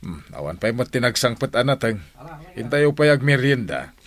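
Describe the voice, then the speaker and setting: A man playing a smooth-talking, flirtatious family friend with a Filipino accent in a radio drama. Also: medium pitch, big pitch swings, normal speed, natural voice. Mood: flirtatious, oily. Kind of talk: Ilocano radio drama acting.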